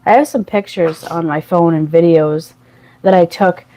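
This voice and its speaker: A woman chats easily as a co-host on a morning show. Her voice is mellow and fairly low. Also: normal speed, low-quality recording. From Maine, United States. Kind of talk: casual morning show chat.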